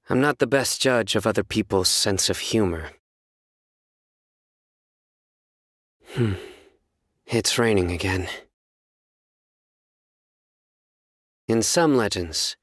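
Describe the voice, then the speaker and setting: Low, solemn young enforcer of justice. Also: male voice, dry recording, studio quality. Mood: calm, reflective. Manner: measured, deadpan, formal. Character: solemn, stern young male enforcer of justice, dryly earnest. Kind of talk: scripted video game character voice lines.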